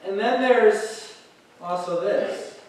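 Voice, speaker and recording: Middle-aged male pastor, emphatic, chatty; higher voice, booming roomy hall, a bit off-mic. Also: slow. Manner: emphatic, conversational, with frequent "right?" checks. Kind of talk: sermon. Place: church hall with pulpit. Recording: very echoey hall, heard from a distance.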